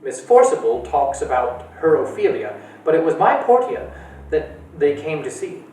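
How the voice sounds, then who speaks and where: A man's voice: a little high, sing-song, moderate pace, darkish tone, slight background noise, pitched above the performer's own voice. A grand old retired actress from a storybook, her speech rising and falling with theatrical flair, as a man puts her on. The voice rings in an echoey room, heard from far off over faint music.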